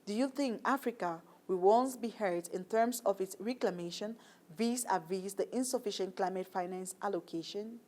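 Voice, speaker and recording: A woman interviewing a guest and asking formal questions in a composed, measured way. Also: medium pitch, darkish tone.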